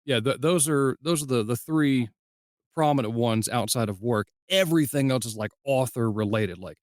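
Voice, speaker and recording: A man's voice: medium pitch, normal speed, dry recording, clean studio sound. A man hosting a podcast in a casual, conversational way, playfully acting out the lines he quotes. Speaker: podcast host. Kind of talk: casual interview chat.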